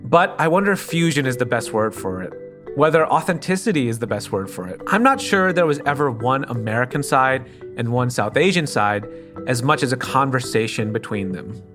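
A man reporting and narrating a personal story for a podcast, in a calm, thoughtful, plainly spoken way. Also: medium pitch, fairly quick. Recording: music clearly under the voice.

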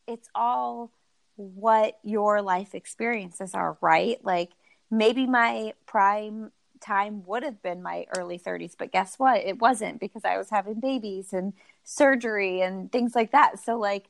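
A woman's voice: medium pitch, unhurried, creaky, dry recording. A woman in her thirties, a guest on a podcast, talking casually and laughing often about her own running. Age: 30s. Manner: chatty, laughs often, self-deprecating. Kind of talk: casual interview chat.

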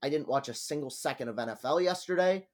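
A man co-hosting a podcast, congested and a little nasal, speaking in a subdued, halting way. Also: a little high, expressive. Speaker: podcast co-host. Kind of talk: casual chat.

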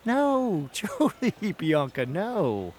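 An actor playing a charming, evasive officer and lover in a Shakespearean audio drama, courtly and placating. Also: male voice, sits high, wildly swooping pitch, extremely slow, a little mellow, a little hiss or hum, heavily acted.

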